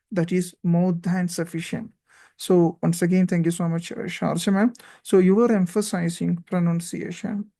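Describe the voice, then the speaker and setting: A man with an Indian accent hosts a relaxed chat, his voice mellow and dark. He talks in encouraging bursts, full of "you know" and "right?". The sound is close and dry. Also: high-pitched, no echo. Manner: frequent fillers and tag questions, encouraging. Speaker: host. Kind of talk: casual interview chat.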